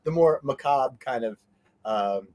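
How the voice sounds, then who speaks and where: A man's voice: mid-range voice, expressive, a little slow, mellow. A man as an interview guest in casual fan chat, joking and cutting into his own rambling sentences. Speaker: interview guest, convention organizer.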